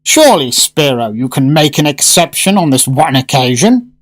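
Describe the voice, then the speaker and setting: Radio drama gourmet, acted by a man: pompous, eager male regular with very lively, swooping delivery. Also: on the high side, moderate pace. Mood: eager, insistent.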